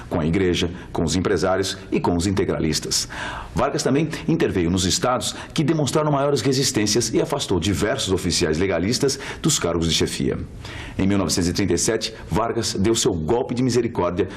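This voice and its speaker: A man's voice: low-pitched, breathy edge. A Brazilian man presenting scripted educational narration in an explanatory way. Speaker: documentary presenter.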